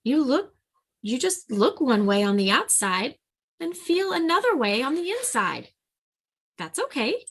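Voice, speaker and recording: A woman voicing a gentle, big-hearted male bear who feels like a bunny, speaking softly and earnestly in a raised voice. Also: high-pitched, very animated, a little slow, slightly rough, pitched above the performer's own voice, full character voice. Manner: soft, earnest and hopeful.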